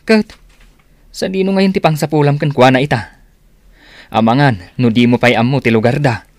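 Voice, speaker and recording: A man's voice: medium pitch, moderate pace, dry recording, lightly acted. An actor playing a young man's loyal buddy in a radio drama, blunt and full of advice. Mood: advising.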